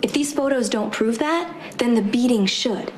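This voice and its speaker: A woman's voice: fairly high pitch, moderate pace, close to an everyday voice. Woman acting bitter wife over heavy noise. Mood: cold, accusing. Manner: cutting, sarcastic. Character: bitter, scheming young wife, sharp and accusing. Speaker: actor in a TV serial drama. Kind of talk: soap opera dialogue. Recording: very noisy background.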